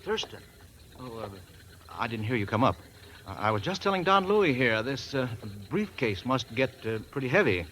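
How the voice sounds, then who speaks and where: Evasive, uneasy doctor, medium pitch, halting politeness, vintage radio drama sound. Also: male voice, big pitch swings, fairly bright tone. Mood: flustered. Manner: hesitant, awkwardly polite. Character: fidgety, evasive male doctor. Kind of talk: 1940s radio drama acting. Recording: noisy old radio recording.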